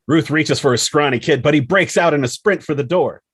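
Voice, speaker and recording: A man's voice: on the high side, a little fast, slightly rough, no echo, studio quality. A man reading scripted screenplay action and scene headings as the narrator.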